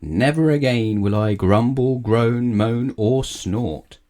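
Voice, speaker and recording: A man's voice: mid-range voice, slow, warm tone, voice pushed up, lightly acted. Man acting a grumbling, moaning storybook grump. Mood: pleading, mournful.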